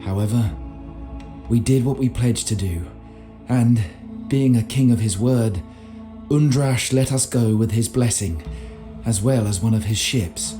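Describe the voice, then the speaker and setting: A man's voice: medium pitch, a little slow, warm tone, faint music behind, close to an everyday voice. An actor playing a solemn medieval knight who narrates his campaign journal in a video game. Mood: solemn, recounting. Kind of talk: dramatic scripted game narration.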